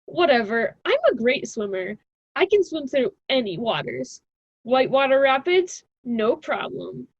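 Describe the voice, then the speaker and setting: A woman playing a stubborn, cocky college student in an amateur radio drama, flippant and husky, on a dry, dull recording. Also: sits high, sing-song, a little slow, no room sound, full character voice. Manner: flippant, casual, argumentative.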